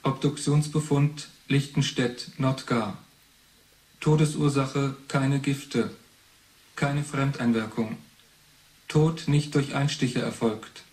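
A man's voice: mid-range voice, steady tone, slow pace, a little mellow, natural voice, low-quality recording. Actor as clipped, impersonal official man. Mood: detached. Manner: clipped, bureaucratic, detached. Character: impersonal official male voice reading forensic reports. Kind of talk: formal report read-out in 1980s radio drama.

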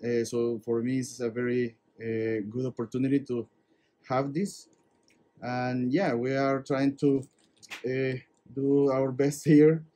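A man's voice: mid-range voice, flat delivery, slow pace, warm tone. Earnest man from El Salvador. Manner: earnest, hesitant, slightly broken English. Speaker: visiting neurosurgery fellow. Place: anatomy lab.

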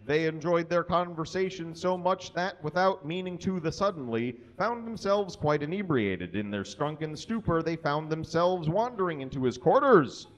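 Animated higher-voiced man reading on stage. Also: moderate pace, few pauses, muffled recording. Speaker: reader at a live comedy show. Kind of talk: dramatic reading of fan fiction to an audience. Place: small stage in a room. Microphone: handheld or stand microphone.

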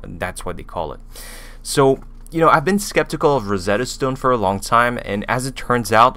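A man's voice: lowish voice, sharp tone. A man in his thirties with a French accent, a native French speaker, reviewing a language program in a casual, hesitant way, full of fillers and restarts, recorded on a studio mic in a small room. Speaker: language learning YouTuber. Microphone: studio mic with pop filter on boom arm.

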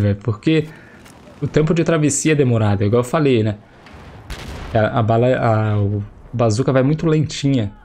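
A man's voice: mid-range voice, warm tone. A young man with a Brazilian Portuguese accent, chatty and slangy, commenting on his own gameplay through a headset while still getting over a cold. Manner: chatty, slangy, exclaims at the action. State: recovering from a cold, voice slightly affected. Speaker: gameplay streamer. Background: faint game gunfire and explosions.

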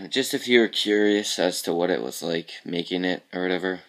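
A man with a very low voice, groggy and a little raspy from just waking up. He talks loosely through his game levels, letting phrases trail off into quiet mumbles as he films the screen. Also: lively intonation, normal speed. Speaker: level creator showing his game work. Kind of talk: casual screen-capture walkthrough.

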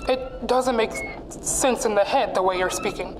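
Woman as a shady wise-guy male dealer, high-pitched, boastful, suspicious, radio mystery, heavy background noise. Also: huge pitch swings, normal speed. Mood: smug, suspicious. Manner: wisecracking, boastful. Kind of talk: 1940s radio mystery acting.

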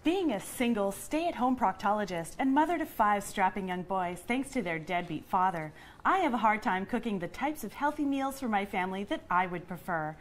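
A woman's voice: mid-range voice, expressive, moderate pace, hardly any pauses, lightly acted. A woman playing a perky 1950s housewife and mother, delivering cheery sales patter like an old radio advert. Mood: perky, wholesome.

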